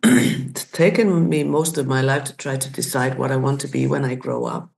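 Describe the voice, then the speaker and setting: A woman's voice: rumbling low, steady tone, moderate pace, vocal fry, a little mellow. A woman in her sixties from Iceland, telling her life story thoughtfully as a podcast guest. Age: 60s. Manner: thoughtful, restarts and searches for words. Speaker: guest, community facilitator. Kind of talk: reflective personal story.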